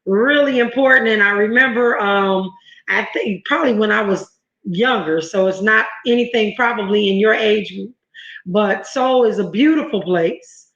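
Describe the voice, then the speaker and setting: A woman's voice: mid-range voice, normal speed, fairly bright tone. Chatty woman host in an echoey room. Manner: chatty, enthusiastic, rambling asides. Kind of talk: interview show hosting. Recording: echoey room, dull lo-fi audio.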